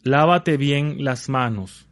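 A man reading translated phrases for language learners in an even, measured way. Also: mid-range voice, no room sound, studio quality.

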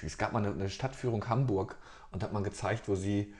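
A man's voice: lowish voice, fairly even intonation, a little fast, darkish tone. A man interviewing a young singer, chatty and rambling, restarting his questions often. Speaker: interviewer, local journalist. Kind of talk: casual interview.

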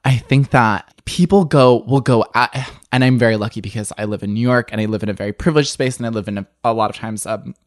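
A young man speaking as an interview guest, talking candidly and animatedly, with frequent filler words and a voice that jumps up and down in pitch. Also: fast, few pauses, dry recording, clean studio sound.

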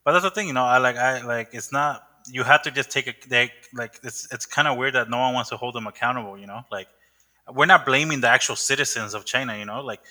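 A man's voice: mid-range voice, fast, sharp tone. A young man hosting a casual podcast chat, rambling with many fillers and restarts. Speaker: podcast host.

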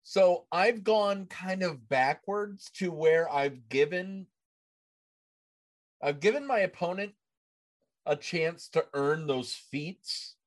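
Male podcast host, unhurried delivery, slightly high pitch, informal gamer chat. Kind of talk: casual gaming podcast chat.

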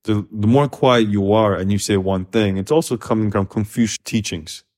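A man from Korea co-hosting a casual podcast, with a lowish voice. He talks frankly and thoughtfully, often restarting his phrases as he thinks aloud. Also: normal speed, a little mellow. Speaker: podcast co-host.

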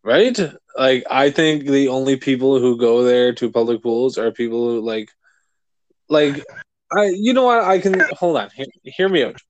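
Man, podcast co-host, rambling, animated, swears, dry close sound. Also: medium pitch, normal speed, dry recording, low-quality recording. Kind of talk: casual chat.

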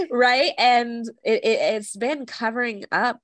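A young adult woman co-hosting a podcast in casual chat, animated and laughing often, speaking from the belly in a feminine voice. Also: a little high, dry recording. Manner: animated, laughs often, many fillers and restarts. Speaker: podcast co-host.

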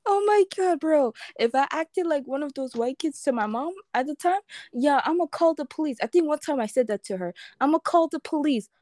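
High bouncy woman voice, blunt storyteller, super dry close sound. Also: expressive, a little fast, hardly any pauses, a little mellow, no echo. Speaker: podcast co-host. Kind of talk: casual chat.

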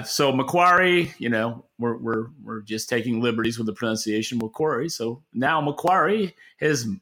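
A man's voice: mid-range voice, pushed and bright. A man co-hosting a podcast, giving opinionated, conversational commentary with a wry, dry sense of humor. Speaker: podcast co-host and market analyst.

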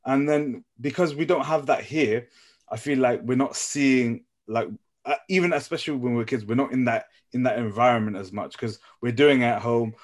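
A young man from Nepal in a casual group discussion, speaking haltingly and often repeating his phrases as he works out his thought. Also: mid-range voice. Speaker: podcast panelist.

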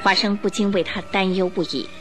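A woman narrating a radio drama in an expressive, measured, scripted storytelling style. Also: mid-range voice. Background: music under the voice.

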